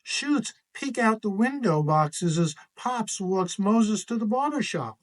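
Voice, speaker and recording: A man's voice: high-pitched, expressive, takes it slowly. A man reading a children's picture book aloud expressively as its author.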